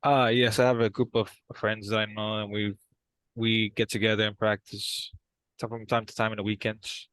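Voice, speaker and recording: Halting, lowish man acting as a mild brother. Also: flat delivery, a bit bright, clear, resonant tone, no room sound, close to an everyday voice. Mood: mild, tentative. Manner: halting, hesitant. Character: reticent brother, an aspiring drummer, mild and agreeable. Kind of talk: improvised role-play dialogue. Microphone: video call.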